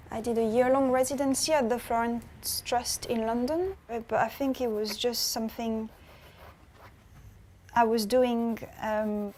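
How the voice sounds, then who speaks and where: A woman's voice: a little high, darkish tone, slight background noise. A woman painting student looking back on her masters in a reflective way, with frequent restarts. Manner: reflective, many I thinks and restarts. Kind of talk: documentary interview.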